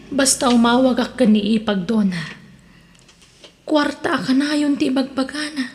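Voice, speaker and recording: A woman playing a gentle, conciliatory family member in an audio drama. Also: a little high, natural voice. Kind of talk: radio drama acting in Ilocano. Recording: long reverb on the voice.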